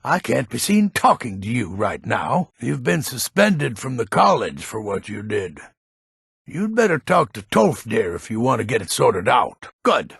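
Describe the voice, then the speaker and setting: An actor playing a grumpy, gruff old man of authority in a fantasy video game, with a raspy, gravelly voice and a weighty, blunt manner. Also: mid-range voice, big pitch swings, unhurried, a bit bright, dry recording, studio quality, exaggerated voice. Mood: stern, grumpy.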